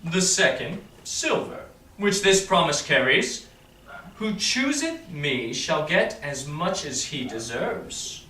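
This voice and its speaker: Man playing grand, ponderous prince, very slow. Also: fairly high pitch, sing-song, pushed and bright, far from the mic, heavily acted. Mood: grand, pondering. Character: grand, proud foreign prince weighing a choice. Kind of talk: staged Shakespeare reading. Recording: echoey room from afar, faint noise.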